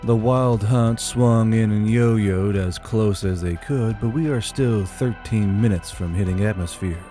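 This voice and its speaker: A man playing a calm, seasoned space-infantry platoon sergeant giving a steady, matter-of-fact briefing. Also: fairly low pitch, unhurried, faint music behind, lightly acted. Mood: calm, businesslike.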